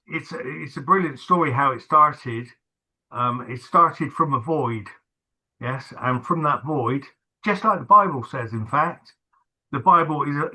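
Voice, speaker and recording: Bright-voiced man hosting a chat. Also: medium pitch, poor audio. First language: not English. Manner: hesitant, many fillers and restarts. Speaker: host. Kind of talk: casual video-call chat.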